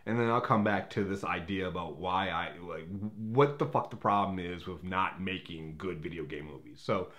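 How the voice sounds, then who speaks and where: A man's voice: low voice, moderate pace, few pauses, fairly bright tone. A man hosting a movie review in a casual, unscripted way, rambling and opinionated, often backtracking as he talks.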